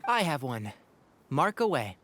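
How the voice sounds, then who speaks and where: A young ranger in a video game. He is courteous, gentle and cautious. His pitch moves in a lively way. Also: unhurried, dry recording, full character voice. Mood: decisive.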